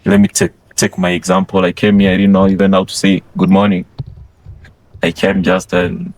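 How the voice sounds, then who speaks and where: A young man from Congo, a French speaker, explaining things in a relaxed, chuckling way. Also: sits low, moderate pace. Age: young adult. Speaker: international student.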